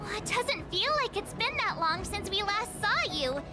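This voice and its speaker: A woman's voice: very high voice, animated, normal speed. A woman voicing a cheerful, teasing teenage girl adventurer in an anime-style game. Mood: playful curiosity. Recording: loud game music over stream.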